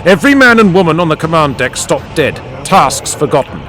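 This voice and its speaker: A man's voice: a little high, big pitch swings, brisk, fairly bright tone. A man reading dramatic scripted narration as an audiobook narrator. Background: music and added sound effects.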